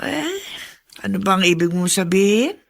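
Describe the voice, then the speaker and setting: Mature female, creaky and swooping, frank concerned confidante, coaxing, radio drama. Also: medium pitch, animated, a little slow. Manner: coaxing, conversational. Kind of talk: Tagalog radio drama acting.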